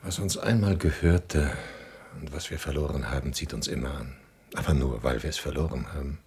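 An actor playing a brooding, world-weary wandering hero in a theatrical radio drama, torn by longing. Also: male voice, very deep voice, brisk, darkish tone, slightly rough, heavily acted. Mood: wistful, cynical. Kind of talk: theatrical radio play monologue and dialogue.

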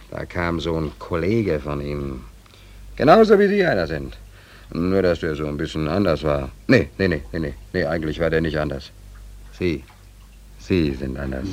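A man's voice: very deep voice, very animated, moderate pace, a little mellow. A man acting in a 1940s German radio play as a stranded young sailor, telling his misfortune in rambling, colloquial, wry phrases. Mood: wry, reflective. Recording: old 1940s radio recording.

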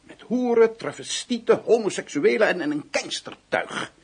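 A man's voice: sits high, animated, moderate pace, gravelly, breathy edge, exaggerated voice. A man playing a stern, disapproving, moralizing doctor in a radio drama. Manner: stern, censorious.

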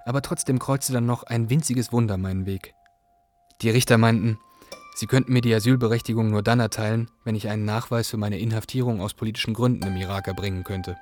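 A man's voice: lowish voice, fairly even intonation, quick pace, a little mellow, lightly acted. An actor playing a weary Iraqi asylum seeker, earnestly telling his story in a radio drama. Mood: weary earnestness. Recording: radio production with music behind.

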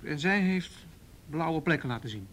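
A man's voice: fairly high pitch, animated, normal speed, fairly bright tone, slight background noise. A man acting in a radio play as a patient, principled police officer on duty, in naturalistic dialogue. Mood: polite, inquiring.

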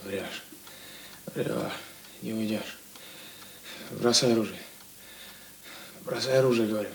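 A young police trainee sleep-talking in a radio play. The voice is low and gravelly, muttering slowly over a slightly noisy recording. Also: male voice. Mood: dreaming, urgent.

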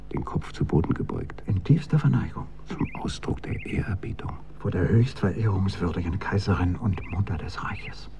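Low, gravelly whispering courtier. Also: male voice, exaggerated voice. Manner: hushed, clipped, conspiratorial whisper. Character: furtive whispering courtiers commenting on the imperial audience. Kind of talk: German radio drama acting.

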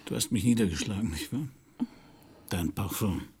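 Gravelly, world-weary noir private detective. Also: male voice, fairly low pitch, darkish tone. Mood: quietly accusing. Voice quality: gravelly, husky. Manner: subdued, melancholy, deliberate. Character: world-weary, broke, pipe-smoking male private detective. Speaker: narrating protagonist. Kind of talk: intimate noir radio drama narration and dialogue.

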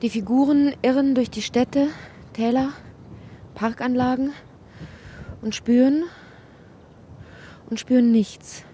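A woman playing a whimsical narrator in a literary radio play, with a husky voice. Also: high voice, fairly even intonation, takes it slowly, long pauses, mellow, slight background noise. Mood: wistful, searching.

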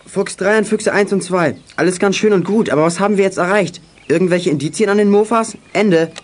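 A man's voice: high-pitched, a little fast, lightly acted. A young man acting a clever, cheekily defiant teenage boy detective with glasses in a German children's radio play. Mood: businesslike.

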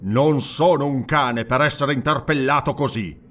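A man's voice: mid-range voice, normal speed, a bit bright, lightly acted. A man voicing a brooding, proud barbarian warrior in a fantasy audiobook, speaking in a terse, stern, defiant way. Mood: proud defiance. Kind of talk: dramatized fantasy audiobook dialogue.

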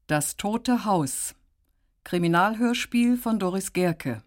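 A woman's voice: medium pitch, animated, slow, dry recording, studio quality. A woman reading radio drama credits as an announcer, in a formal, scripted style.

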